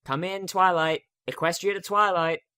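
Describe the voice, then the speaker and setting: A man's voice: sits high, fairly even intonation, normal speed, pushed and bright, smooth, dry recording, studio quality, pitched above the performer's own voice. Man voicing a playful unicorn mare shopkeeper. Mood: calling out. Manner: playful, teasing.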